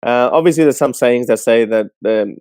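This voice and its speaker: A man hosting a call-in show, giving casual, blunt and scornful commentary in an animated way. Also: mid-range voice, normal speed, clean tone, no room sound, studio quality.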